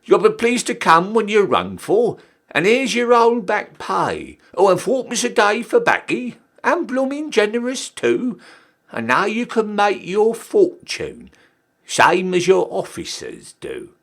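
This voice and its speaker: A man voicing a breezy, haughty queen in a verse recital, with a mock-regal, drawn-out manner. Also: high voice, wildly swooping pitch, fairly bright tone, pitched above the performer's own voice, full character voice. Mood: breezy, condescending. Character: Queen Victoria, breezy, haughty woman dismissing a soldier.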